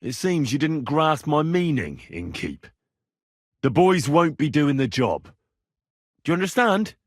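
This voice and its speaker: Casting: brash young medieval squire, husky, lively intonation, blunt and quick-tempered game hero, dry close sound. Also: male voice, on the high side, no echo, full character voice. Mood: firm. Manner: blunt, colloquial, assertive. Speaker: video game protagonist. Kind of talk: video game dialogue acting.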